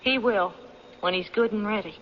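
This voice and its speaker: A woman's voice: mid-range voice, normal speed, natural voice. An actress playing an earnest, loyal young mountain woman in a radio drama, plain-spoken with her father. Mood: calm, guarded. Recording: dull, noisy old radio recording.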